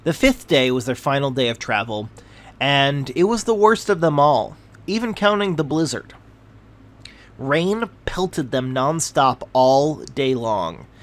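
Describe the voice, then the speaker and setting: A man narrating a scripted fantasy tale as a storyteller, now and then stumbling over a word and correcting himself. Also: mid-range voice. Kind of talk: scripted narration read aloud.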